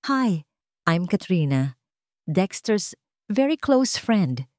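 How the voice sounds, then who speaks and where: A woman's voice: fairly low pitch, very animated, slow pace, darkish tone, no echo, studio quality. A woman voicing an elegant, scornful young rich rival in a romance story, with sugary condescension and a Filipino accent. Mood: sugary, condescending. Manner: sugary condescension, mixing English into Tagalog.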